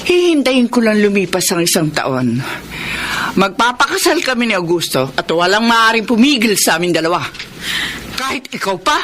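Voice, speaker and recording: A woman's voice: mid-range voice, big pitch swings, moderate pace, fairly bright tone, slight background noise. A woman playing a defiant middle-aged widowed mother in a Filipino radio drama, speaking firmly and dramatically. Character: defiant widowed mother of fifty-five, stubbornly in love.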